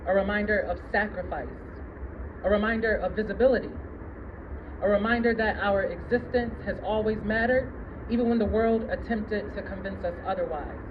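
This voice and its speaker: A man giving a prepared speech as a guest at a public ceremony, solemn and deliberate. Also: high-pitched, steady tone. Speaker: guest speaker. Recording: muffled event recording with noisy ambience.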